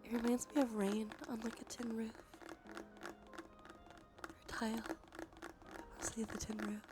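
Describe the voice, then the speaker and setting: A woman softly whispering casual ASMR chat to livestream viewers, relaxed and unhurried, with a slightly stuffy nose. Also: fairly high pitch. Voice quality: soft, breathy whisper. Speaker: livestream host. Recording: background music under close whispering. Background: music.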